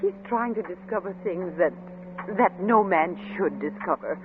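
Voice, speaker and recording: A woman's voice: lowish voice, moderate pace, phone-quality sound, lightly acted. An actress playing an anxious, caring wife and mother in an old-time radio drama, with a fretful, pleading manner. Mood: worried. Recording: crackly, band-limited vintage radio broadcast.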